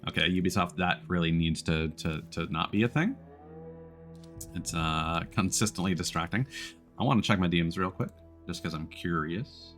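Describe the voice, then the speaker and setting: A man's voice: deep voice, moderate pace, fairly bright tone, soft background music. A man live-streaming a game with casual commentary, reacting aloud in a wry, joking way.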